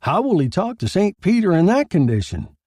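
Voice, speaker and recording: Man doing a brash, high-pitched noir reporter. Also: very animated, brisk, dark tone, no echo, studio quality. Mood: cocky amusement. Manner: flippant, jokey. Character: brash, wisecracking male newspaper reporter.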